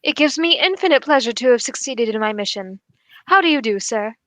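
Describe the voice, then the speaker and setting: A woman playing a calm, courteous male detective in a formal, measured radio drama style. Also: fairly high pitch, no echo. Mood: composed, courteous. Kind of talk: 1940s radio drama acting.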